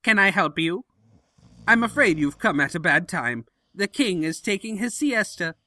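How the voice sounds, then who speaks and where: A man's voice: high voice, expressive, moderate pace, bright, forceful tone, exaggerated voice. A man voicing a nervous, deferential pony henchman in a cartoon audio drama, polite and hesitant. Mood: awkward stalling.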